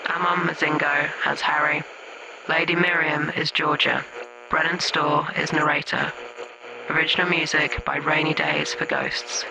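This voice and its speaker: A man's voice: a little high, vocal fry, very noisy. A man reading scripted credits as an announcer. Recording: loud music bed.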